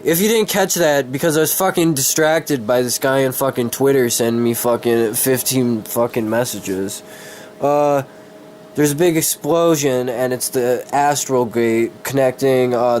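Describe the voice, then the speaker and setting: A man with a cold doing a dramatic story reading, halting and easily distracted, with casual swearing. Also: mid-range voice, fairly even intonation, darkish tone, slight background noise. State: sick with a cold.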